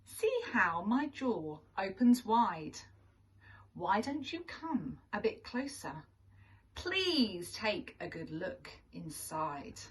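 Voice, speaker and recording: A woman's voice: medium pitch, very animated, slow pace, slightly rough, distant mic, exaggerated voice. A woman voicing a sly, grinning shark from a storybook, coaxing listeners with menace. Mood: sly, inviting.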